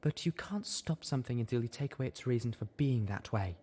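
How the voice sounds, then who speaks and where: A man's voice: mid-range voice, expressive, fairly quick, dark tone, breathy edge, pitched above the performer's own voice. A man voicing a distraught, sobbing female pony, pleading in despair during a story reading. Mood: anguished despair. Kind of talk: dramatised audiobook reading.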